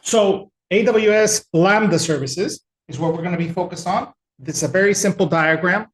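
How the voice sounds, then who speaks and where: A man from El Salvador giving a relaxed, conversational technical webinar with frequent fillers. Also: sits high, normal speed, echoey room. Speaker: webinar presenter.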